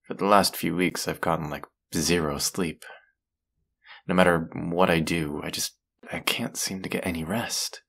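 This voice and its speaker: A young man acting a tired, overworked, affectionate college boyfriend in an intimate roleplay audio, speaking softly and drowsily with hesitations and sighs. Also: low voice, vocal fry, husky, heavily acted. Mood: quiet, weary. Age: young adult.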